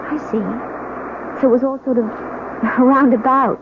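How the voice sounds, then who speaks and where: A woman's voice: high voice, steady tone, measured pace, noisy background. A woman playing an anxious, suspicious newlywed wife in a radio drama, speaking politely and hesitantly. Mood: guarded. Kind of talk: 1970s radio drama acting. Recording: tinny band-limited old radio, music behind.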